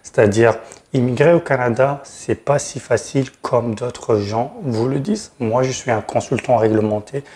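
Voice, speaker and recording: Male advice-channel host, mid-pitched. Frank, direct consultant delivery with emphatic key words. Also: normal speed, a little mellow. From Tunisia. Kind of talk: explanatory advice video to camera.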